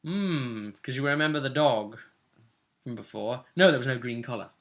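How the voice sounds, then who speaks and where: A man with a bright, forward voice works as game master. He recaps a tabletop role-play adventure conversationally, like a storyteller who slips into quoted dialogue. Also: mid-range voice, normal speed.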